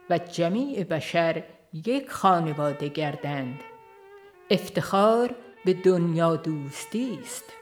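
Low-voiced woman narrating over violin music. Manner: formal, measured recitation. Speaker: audiobook narrator. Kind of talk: scripted narration of a religious book.

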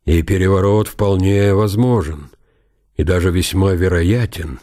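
An actor playing an aging count and veteran commander in a radio drama, brooding and calculating in a deliberate, reflective monologue. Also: male voice, low-pitched, fairly even intonation, dark tone. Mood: sober, calculating.

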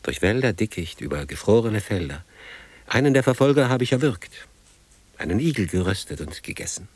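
A haunted war survivor, half drunk and defiant, in a poetic radio drama. His voice is lowish and husky. He swings erratically between breathy murmurs and animated outbursts. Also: fairly quick, no echo. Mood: fierce.

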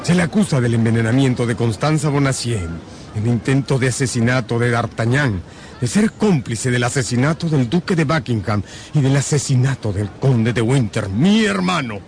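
An actor playing a stern, authoritative count who acts as judge in a radio drama. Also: male voice, mid-range voice, expressive, moderate pace, slight background noise, natural voice. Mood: stern, questioning.

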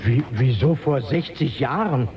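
A man's voice: animated, unhurried, lightly acted. A man playing an anxious, doubting stranded space traveller in a radio drama, speaking in a hesitant, agitated way. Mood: puzzled. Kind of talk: German radio drama acting. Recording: dull lo-fi radio recording, faint rumble.

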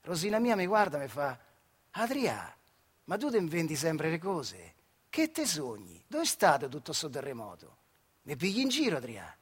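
A man's voice: on the high side, huge pitch swings, breathy edge, heavily acted. A man playing a wry, earthy factory worker recalling his life in a theatrical radio monologue, in colloquial central Italian dialect from the Marche. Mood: wistful. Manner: colloquial, emphatic, conversational with dramatic pauses. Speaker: stage actor performing a monologue.

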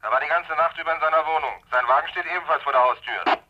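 Dutiful policeman, dull radio filter, higher-pitched, rapid businesslike reporting, crime radio drama. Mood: brisk, dutiful. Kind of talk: German crime radio play acting.

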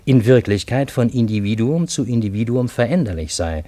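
A man's voice: medium pitch, animated, a little fast, warm tone, no room sound, close to an everyday voice. An actor playing a genial, easily bewildered Parisian in a German radio play, urbane and wry in his literary storytelling. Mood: wry, narrating. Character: genial, bewildered Parisian man narrating a fantastic encounter.

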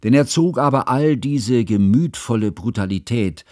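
An actor in a radio drama playing a learned chronicler, narrating in a measured, formal, literary manner. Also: male voice, medium pitch, expressive, darkish tone, no room sound, clean studio sound, close to an everyday voice. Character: learned, formal male chronicler telling of his friend. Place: dry studio.

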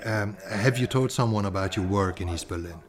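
An actor in a radio crime drama playing a shrewd go-between who talks in a casual, coaxing and wry way. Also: male voice, lowish voice, normal speed, music in the background, natural voice. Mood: businesslike. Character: shrewd, persuasive male go-between for an American businessman.

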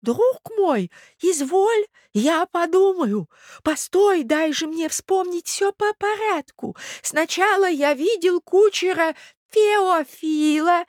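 A woman voicing a bewildered, genteel old prince in a literary audiobook, plaintive and childlike, with faltering, drawn-out speech. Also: very high-pitched, expressive, no room sound, studio quality, full character voice. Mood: befuddled, placating.

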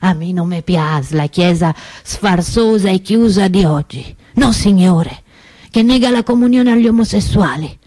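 A woman's voice: mid-range voice, measured pace, dark tone, dry recording, studio quality, close to an everyday voice. A woman playing an impassioned, reform-minded preacher who delivers a solemn, accusatory sermon as a theatrical monologue. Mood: impassioned, reproachful.

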